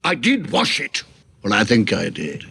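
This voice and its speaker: A man voicing a small, pompous, sly alien in sound clips from a talking toy, gravelly and drawn-out. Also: on the low side, wildly swooping pitch, bright, forceful tone, slight background noise, heavily acted. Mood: indignant.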